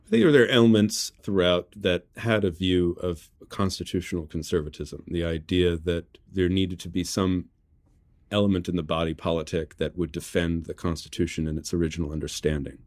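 Male interview guest, notably deep voice, very dry sound, measured explainer of political ideas. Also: fairly even intonation, darkish tone, dry recording. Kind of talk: analytical political conversation.

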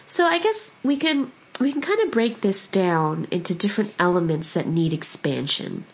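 A woman hosting a podcast, husky and stuffed up from a summer cold, talking casually with a rambling, self-deprecating manner. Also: medium pitch, lively intonation, normal speed, low-quality recording. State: congested from a cold. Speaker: podcast host.

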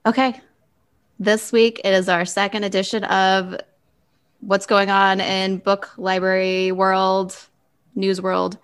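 A woman hosting a podcast in an easy, conversational way. Her voice sits at an average pitch for a woman, and she chats informally. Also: level tone, takes it slowly, clear, resonant tone. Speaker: podcast host. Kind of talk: casual chat.

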